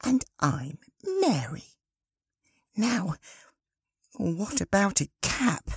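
A woman playing a friendly party hostess in audiobook dialogue, speaking in a lively, expressive way. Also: mid-range voice, very slow, husky, dry recording, studio quality, exaggerated voice. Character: Mary, friendly woman hosting a children's Christmas party.

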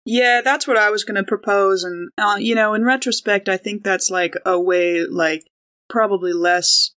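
A woman's voice: mid-range voice, steady tone, moderate pace, a bit bright. A woman playing a tabletop game, talking through options in an informal, hedging, conversational way. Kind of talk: casual in-character tabletop discussion.

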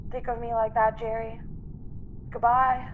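Casting: actress as doomed young heroine, high voice, tender, halting, earnest radio melodrama, slight noise. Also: female voice, level tone, narrow, tinny audio, lightly acted. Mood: sorrowful farewell. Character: doomed young woman, tender, tearful, brave stowaway. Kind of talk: classic radio drama acting.

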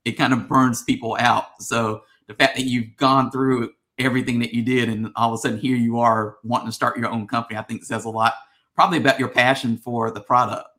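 A man hosts a relaxed interview show, his voice sitting in an ordinary middle range. He asks long, wandering questions, padding them with ums and restarts. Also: medium pitch, brisk. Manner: rambling, friendly questions with many fillers. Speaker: podcast host. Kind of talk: casual interview.

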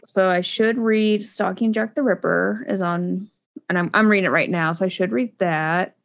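A woman's voice: mid-range voice, fairly even intonation, moderate pace, gravelly. A woman co-hosting a podcast, chatting casually and rambling with lots of fillers and restarts. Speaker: podcast co-host. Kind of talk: casual chat about books.

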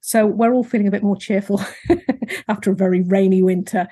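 Podcast host; slightly breathy voice, mid pitch, chatty and loose with fillers. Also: female voice, fairly even intonation, a little fast, warm tone. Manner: friendly, rambling, many fillers. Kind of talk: casual interview chat.

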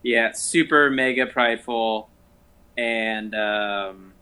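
Blunt, bright-voiced American man. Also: mid-range voice, fairly even intonation, slow, smooth. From Southern California, United States. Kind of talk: casual conversation.

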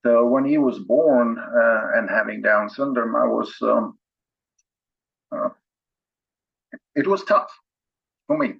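A middle-aged man from Sweden with a Swedish accent, telling his own story as a podcast guest in a halting way, with many fillers and restarts. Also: mid-range voice, gravelly, breathy edge. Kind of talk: candid personal storytelling. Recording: dull lo-fi sound.

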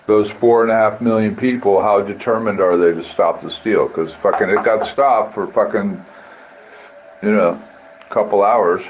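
Very deep, slow-talking man, muffled audio. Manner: profane, blunt, emphatic. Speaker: participant in a political radio chat channel. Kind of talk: informal political rant over voice chat. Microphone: push-to-talk voice chat app. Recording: muffled walkie-talkie app audio with background noise.

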